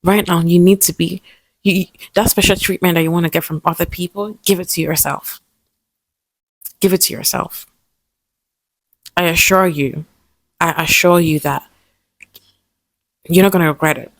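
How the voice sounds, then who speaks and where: A young woman with a lisp talks in a soft, hesitant, personal vlog style and often restarts her sentences. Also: lowish voice, fairly even intonation, moderate pace, pauses often, dry recording, poor audio. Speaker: vlogger.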